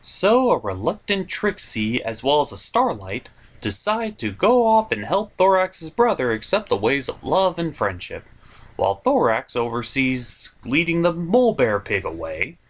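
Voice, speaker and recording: A man's voice: mid-range voice, huge pitch swings, a little slow. Sarcastic man with wildly swinging intonation. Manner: sarcastic, theatrical. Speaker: reviewer host. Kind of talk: scripted comedic review narration.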